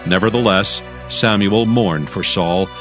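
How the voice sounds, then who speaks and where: A man's voice: on the low side, measured pace, over music. A man narrating scripture from a script in a solemn, formal storytelling manner.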